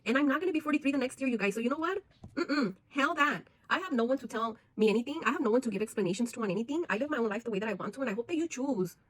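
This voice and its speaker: Fast-talking blunt woman in her forties. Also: fairly high pitch, animated, no room sound, rough recording. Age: 40s. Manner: blunt, frank, swears often, keeps addressing viewers. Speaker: vlogger. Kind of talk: candid personal vlog.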